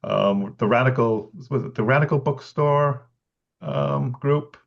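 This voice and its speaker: A male researcher speaking thoughtfully on a video call, pausing and restarting often with light laughs. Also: medium pitch, creaky. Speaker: bookselling researcher guest. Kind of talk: conversational explanation. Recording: dull lo-fi call audio.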